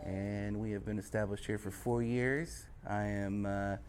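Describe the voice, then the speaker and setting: A man's voice: fairly low pitch, fairly even intonation, measured pace. A man in his thirties who owns a tattoo studio, talking candidly and wryly in a documentary interview. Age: 30s. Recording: noisy background.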